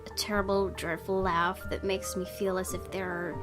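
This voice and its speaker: A woman's voice: mid-range voice, little pitch movement, moderate pace. A woman gaming host, reading a visual novel aloud expressively and adding her own casual commentary. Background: game music.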